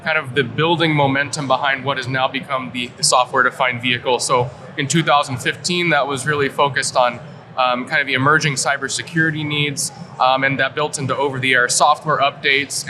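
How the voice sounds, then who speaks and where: A man from rural Kansas, a company director, answering interview questions in a relaxed, thoughtful way. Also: mid-range voice. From rural Kansas, United States. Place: trade show floor. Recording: live event with clear background noise. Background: show-floor ambience.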